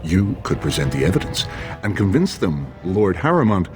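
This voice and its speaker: An actor playing a brusque, impatient dwarven schemer in a fantasy video game. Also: male voice, sits low, sing-song, moderate pace. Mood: stern, scheming. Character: scheming, impatient male dwarven noble's political aide. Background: game music.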